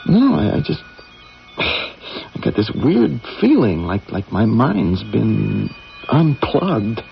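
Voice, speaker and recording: Gravelly, easygoing astronaut crewmate. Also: male voice, on the low side, slow, heavily acted. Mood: groggy, confused. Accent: American. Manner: folksy, easygoing, animated. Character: genial, trusting male astronaut crewmate. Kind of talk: 1980s radio drama acting. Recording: lo-fi old radio broadcast, faint music.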